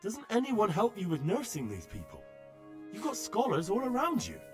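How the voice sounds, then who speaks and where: An actor playing an earnest, polite young blacksmith who is eager to help, somewhat tentative, in a video game drama. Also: male voice, high-pitched, huge pitch swings, moderate pace, over music.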